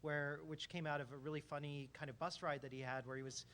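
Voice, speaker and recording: Higher-voiced man telling an anecdote on a panel. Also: fast, slight background noise. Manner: relaxed anecdotal storyteller. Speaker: university research center director, panelist. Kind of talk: panel discussion.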